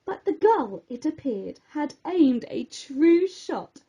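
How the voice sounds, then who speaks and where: High-voiced, slow-paced woman storyteller. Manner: theatrical, expressive. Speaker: children's storyteller. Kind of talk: expressive rhyming bedtime story narration.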